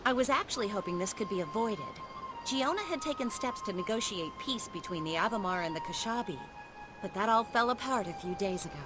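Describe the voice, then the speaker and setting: An actress voices a confident female army commander in a video game. The delivery is wry and poised, with lively pitch movement and music clearly behind it. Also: a little fast, close to an everyday voice. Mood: grave, coaxing. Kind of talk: video game character acting.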